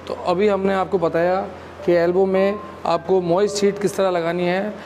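High-voiced man teaching physio exercises. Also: moderate pace, soft background music. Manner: calm, instructive, explains step by step. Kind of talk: clinic exercise demonstration. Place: physiotherapy clinic room.

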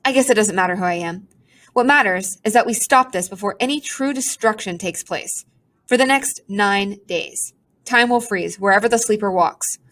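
A woman's voice: mid-range voice, moderate pace, a bit bright, lightly acted. A woman voicing a melodramatic, self-pitying talking pigeon with a pompous, theatrical manner. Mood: haughty. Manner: theatrical, sighing, haughty complaints.

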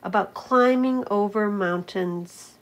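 A woman's voice: medium pitch, slow, lo-fi sound. A woman narrating a children's storybook aloud, in the manner of a teacher reading to pupils.

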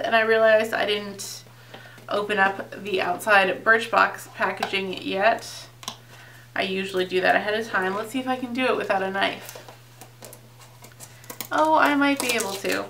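A woman's voice: medium pitch, pushed and bright, distant mic, a little hiss or hum. A young woman chatting casually and rambling through a beauty unboxing vlog, her voice a little off from getting over being sick. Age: young adult. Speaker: beauty vlogger. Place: indoors, small home filming set.

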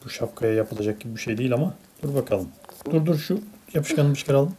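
A woman's voice: very deep voice, animated, quick pace, mellow, breathy edge. A woman narrating a casual toy unboxing for children, as a parent chatting with a child indoors at a table.

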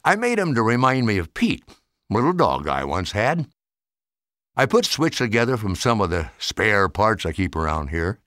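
A man's voice: medium pitch, fairly bright tone, dry recording, studio quality. Man playing a chuckling, playful old toy maker.

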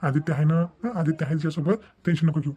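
Male actor as calm young son, quick delivery, reassuring, reasoning, cartoon family drama. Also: on the high side, background noise, close to an everyday voice.

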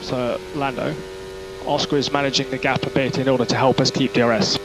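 A man working as a race engineer gives terse, measured instructions over team radio, often repeating them. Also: medium pitch, flat delivery, loud music behind the voice. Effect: team radio transmission. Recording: noisy team radio with vehicle sound.

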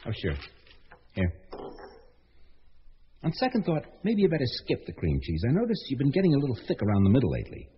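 A man's voice: medium pitch, wildly swooping pitch, brisk, long pauses. A man playing a brusque, nagging, self-absorbed show-business agent in a radio comedy-drama. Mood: distracted, irritable. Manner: brusque, nagging, matter-of-fact. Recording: dull lo-fi radio sound, faint noise.

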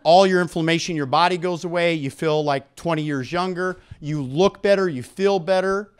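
A middle-aged man speaking as a presenter at an expo, pitching to a live audience in an animated way on a handheld microphone in a conference room. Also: normal speed, clean tone. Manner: animated, engages audience with questions and prompts. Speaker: expo speaker and product promoter. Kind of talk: promotional seminar talk to a live audience.

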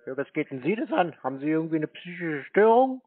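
A man in a phone conversation, answering in a laconic, deadpan manner. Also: a little high, quick pace, no echo. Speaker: prank scam-baiter taking calls. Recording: narrow-band dry phone recording.

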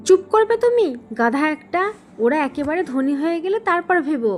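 A woman voicing a scheming, short-tempered wife in a cartoon, with a sharp-tongued, commanding manner. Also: very high voice, very animated, fairly quick, a little hiss or hum, voice pushed up. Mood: bossy, scheming. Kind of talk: Bengali cartoon voice acting.

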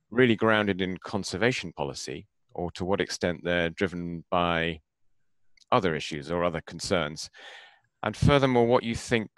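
A man's voice: low voice, a bit bright, dry recording. A man examining a doctoral thesis over a video call, polite and thoughtful, asking long rambling questions with many fillers. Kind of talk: academic questioning.

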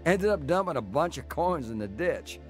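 A man's voice: on the high side, sing-song, moderate pace, faint music behind. An actor playing a tough career criminal telling his life story in a folksy, plain-spoken way for a radio drama. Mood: reflective, rueful. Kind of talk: radio drama acting and first-person narration.

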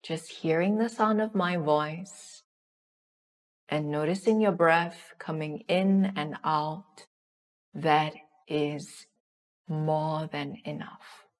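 Female meditation guide, deep-pitched and very slow, soothing delivery with spacious pauses. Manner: soothing, unhurried, with long pauses. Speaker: yoga nidra guide.

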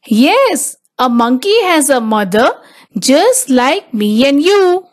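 A woman reading a children's picture book aloud as narrator, with simple, deliberate phrasing for small children. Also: high voice, sing-song. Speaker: storybook narrator.

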